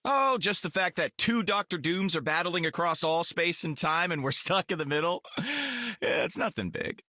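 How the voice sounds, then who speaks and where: An actor playing a cocky, sarcastic space hero in a video game, casual and wisecracking. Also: male voice, on the high side, normal speed, bright, forceful tone, no echo, studio quality.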